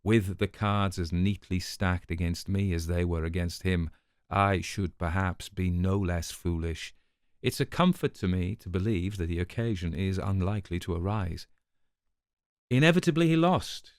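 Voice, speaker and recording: A man narrating a crime story from a script in a measured, articulate, dramatised way. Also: low voice, smooth, no room sound, studio quality.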